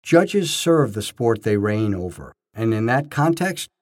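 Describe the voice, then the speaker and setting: A man's voice: on the low side, big pitch swings, a little slow, darkish tone. A man reading scripted first-person narration, telling his own experiences as a narrator. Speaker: narrator, bodybuilding judge.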